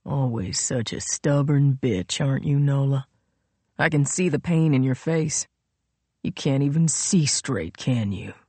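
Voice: mid-range voice, warm tone, no room sound. Sneering male villain played by a narrator for an audiobook thriller: cruel, gloating, mocking, dry close sound. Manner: mocking, drawn-out taunts.

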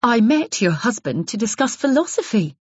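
An indignant, strained woman , as a narrator puts her on, a little higher than the narration. She protests hotly and sounds under stress. The sound is husky, dry and a little dull. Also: sing-song, moderate pace, a little mellow, no echo.

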